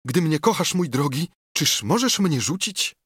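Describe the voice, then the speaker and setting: A man voicing a passionate, theatrical noblewoman who has been jilted, speaking with melodramatic, shrill outbursts. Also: sing-song, measured pace, fairly bright tone, slightly rough, dry recording, clean studio sound, heavily acted. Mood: pleading.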